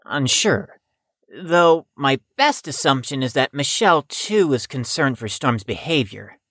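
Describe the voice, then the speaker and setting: Woman as low-voiced, formal young student Grayson. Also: expressive, unhurried, fairly bright tone, dry recording, exaggerated voice. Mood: concerned. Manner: precise, formal, dry. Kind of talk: audio drama acting.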